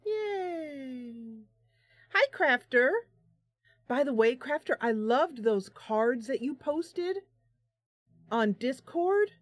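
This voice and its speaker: A woman's voice: sits high, expressive, drawn-out words, pauses often, no room sound. Chatty, bubbly woman streamer, close dry sound. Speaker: live stream host, gamer. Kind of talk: casual live stream chat.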